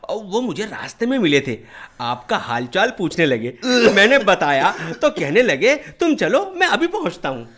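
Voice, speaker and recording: A man's voice: sits high, big pitch swings, a little slow, hardly any pauses, a bit bright, exaggerated voice. Servant guy in a radio drama, super polite, hesitant, voice bobs around. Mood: deferential. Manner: deferential, hesitant. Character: bearded, obedient, deferential manservant. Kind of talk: Hindi radio play acting.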